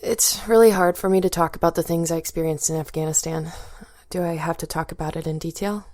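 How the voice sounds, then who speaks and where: An American woman playing a distressed young Air Force veteran in an acted interview, halting and reluctant as she speaks. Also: on the low side, steady tone, darkish tone, no echo, studio quality, lightly acted. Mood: distressed, ashamed. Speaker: voice actor in a training simulation. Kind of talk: acted clinical interview testimony.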